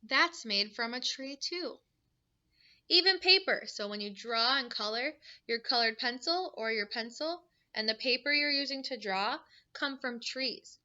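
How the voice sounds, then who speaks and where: A woman's voice: a little high, a little slow, pushed and bright, lo-fi sound. A woman teacher reading a picture book aloud to young children, adding simple explanations and questions in an instructive way.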